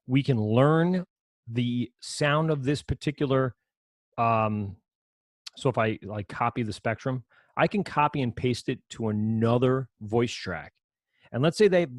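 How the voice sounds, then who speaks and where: Casting: man, low voice, casual rambling teacher walking through software, dry close sound. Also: no echo. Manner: casual, rambling, self-correcting explanations. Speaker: audio production teacher. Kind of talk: screen-share software lesson.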